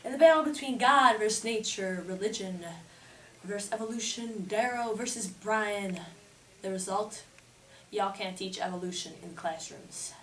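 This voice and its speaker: A woman's voice: medium pitch, moderate pace, fairly bright tone, close to an everyday voice. A woman playing a flighty, flirtatious, slangy 1920s news anchor in an amateur mock newscast. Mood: mock serious. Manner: theatrical, slangy. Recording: echoey room, distant mic, faint noise.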